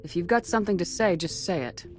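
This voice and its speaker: An actress playing a no-nonsense military commander in a video game, tough, terse, guarded and direct. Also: female voice, on the low side, fast talker, faint music behind, close to an everyday voice. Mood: challenging.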